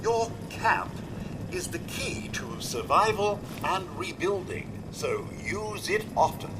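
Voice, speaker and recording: An actor playing a cheery helper who calls out bright farewells. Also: male voice, sits high, sing-song, takes it slowly, full character voice. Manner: chirpy, exclamatory. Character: cheery male helper calling out goodbyes. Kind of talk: video game dialogue. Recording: loud noise, from a distance.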